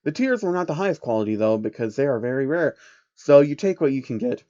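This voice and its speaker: A man narrating a comedic fan story in a deadpan way, with wry asides. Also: medium pitch, fast talker, dry recording, dull audio.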